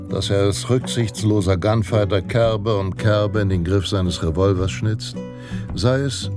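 An actor playing a solemn, measured narrator of a western tale in a radio play. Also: male voice, fairly low pitch, lightly acted. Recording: guitar music behind the voice. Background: plucked guitar music.

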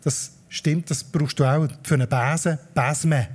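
A man's voice: fairly high pitch, fairly even intonation, slow pace, breathy edge. A man with a Swiss German accent, a dialect expert explaining word origins in a radio conversation. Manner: explanatory, lecturing on word history.

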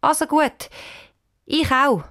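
A woman's voice: high-pitched, moderate pace, pitched above the performer's own voice, natural voice. A woman voicing a cautious, worried young girl in a children's story, with an anxious, unsure manner. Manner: hesitant, anxious.

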